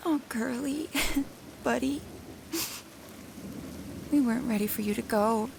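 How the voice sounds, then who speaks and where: A young woman in mourning for an audio drama. She speaks slowly, with plenty of vocal fry, in a tender, casual, halting way. Light background noise surrounds her. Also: fairly high pitch. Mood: tearful, tender. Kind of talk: naturalistic audio drama acting.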